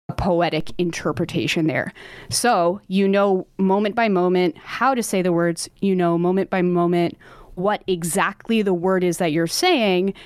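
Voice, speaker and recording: A woman's voice: medium pitch, clear, resonant tone, dry recording, clean studio sound. A woman who sings opera, chatting in an interview and filling her talk with "like" and "um". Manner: chatty, lots of "like" and "um", laughs easily. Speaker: opera singer guest. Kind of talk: casual interview chat.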